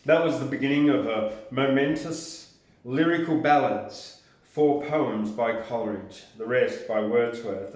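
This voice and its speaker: An older man reading an introduction aloud in a deliberate, measured manner. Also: medium pitch. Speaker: presenter. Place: small room indoors. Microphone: camera a short distance away. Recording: very echoey room.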